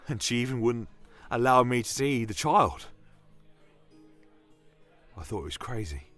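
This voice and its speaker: A man's voice: mid-range voice, very animated, takes it slowly, fairly bright tone, faint music behind, voice pushed up. A man voicing an earnest, lovelorn male barkeep in a fantasy audio tale, speaking with wistful emotion. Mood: wistful, worried.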